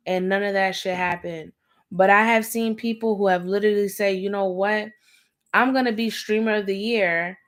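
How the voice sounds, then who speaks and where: A woman with a raspy, slightly hoarse voice, talking casually and in slang as she gives an intuitive reading on a livestream, often checking in with her listeners. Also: medium pitch. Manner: slangy, conversational, often seeking agreement with "right?" and "okay?". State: hoarse, going easy on throat. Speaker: livestream tarot reader, host.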